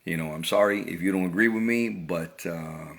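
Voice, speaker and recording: Deep-voiced middle-aged man giving opinions. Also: moderate pace, creaky, fairly bright tone. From Cuba. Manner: earnest, repeats words and restarts phrases. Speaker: vlogger. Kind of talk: casual opinion monologue.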